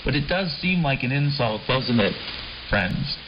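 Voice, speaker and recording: A man's voice: mid-range voice, lively intonation, measured pace. A man with an American accent hosting a rambling late-night shortwave show in a wry, laid-back way, repeating phrases and tossing out rhetorical questions. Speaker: shortwave radio show host. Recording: dull lo-fi sound under prominent keyboard music. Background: piano-like keyboard music.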